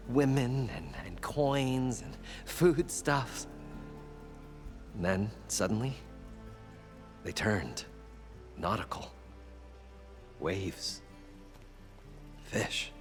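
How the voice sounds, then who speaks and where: A chatty folksy salvager. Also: male voice, fairly low pitch, fairly bright tone, full character voice. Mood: recounting. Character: eager older male salvager, folksy and chatty. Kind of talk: video game cutscene acting.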